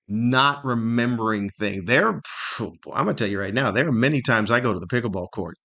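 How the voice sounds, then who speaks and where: A man's voice: lowish voice, a bit bright. Reflective middle-aged man, podcast monologue. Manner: candid, conversational, thoughtful with searching pauses and repetition. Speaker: podcast host, stand-up comedian.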